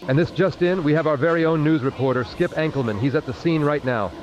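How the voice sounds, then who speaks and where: A man's voice: fairly high pitch, fast. A man hosting and narrating a parody radio drama in a theatrical old-time announcer style. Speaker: host and narrator. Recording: muffled old-radio sound with music.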